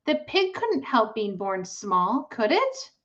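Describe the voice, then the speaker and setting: A high, emotional little farm girl from a storybook, as a woman reader plays her, earnest and emphatic, with drawn-out words. The recording is a little dull. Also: sing-song, a bit bright, full character voice. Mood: protesting. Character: tearful, indignant young farm girl pleading passionately.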